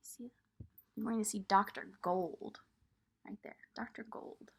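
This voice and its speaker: A woman reading a picture book aloud to young children, expressive and pausing to point things out. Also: medium pitch, gravelly, breathy edge, in a whisper. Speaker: children's storybook reader.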